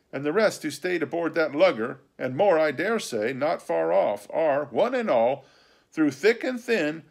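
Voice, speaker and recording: Man reading as a stern country doctor, raised higher voice, loud and forceful, firm and warning, storybook reading. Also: gravelly. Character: stern, cautious gentleman doctor, middle-aged man. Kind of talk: audiobook character reading.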